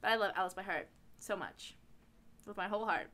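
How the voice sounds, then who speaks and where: A young woman co-hosting a podcast, chatting casually in a giggly, excitable way. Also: medium pitch, brisk. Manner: giggly, excitable, repeats words. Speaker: podcast co-host. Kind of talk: casual chat.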